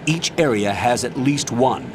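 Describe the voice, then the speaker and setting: A man playing a commanding older army colonel in a video game, giving measured, authoritative mission briefings. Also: mid-range voice, animated, noisy background, natural voice. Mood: instructive.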